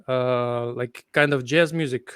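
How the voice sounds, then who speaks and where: Ukrainian guy on a video call late at night, sleepy, slow, lots of uhs and likes, smooth voice. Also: medium pitch, fairly even intonation, no echo, clean studio sound. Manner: halting non-native English with many fillers. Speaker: guest guitarist. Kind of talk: casual interview chat.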